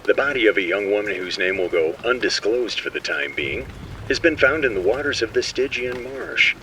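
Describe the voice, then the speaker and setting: A man's voice: lowish voice, lively intonation, normal speed, pushed and bright, far from the mic, a little hiss or hum, low-quality recording. An actor playing a showy local TV news anchorman reading a formal bulletin with grandiose emphasis. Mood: polished, grave. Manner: grandiose, emphatic announcer delivery.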